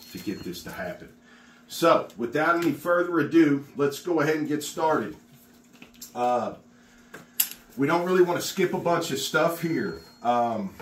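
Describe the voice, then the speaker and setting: A middle-aged man walks through a project step by step, chatty and encouraging as he works. He is recorded on a phone in an unfinished garage with plenty of room echo and a faint hiss behind him. His voice sits in a plain middle range. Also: mid-range voice. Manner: chatty and encouraging, talks while working. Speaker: contractor teaching epoxy work. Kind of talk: casual how-to demonstration.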